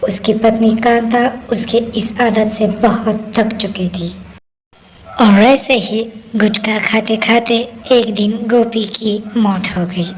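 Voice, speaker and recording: Woman storyteller reading out a spooky story, takes it really slow. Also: mid-range voice, a little mellow, a little hiss or hum, poor audio. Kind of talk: scripted Hindi horror story narration.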